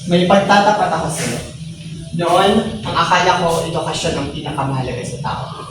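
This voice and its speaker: A man's voice: fairly high pitch, normal speed, close to an everyday voice. A man playing a reflective, educated imprisoned writer in an amateur film drama. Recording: very echoey, distant, noisy.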